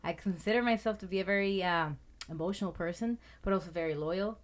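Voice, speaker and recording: A thirty-year-old woman from Puerto Rico, a guest in a casual interview, loud and talkative. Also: fairly low pitch, fast talker. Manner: loud, talkative, swears and laughs often.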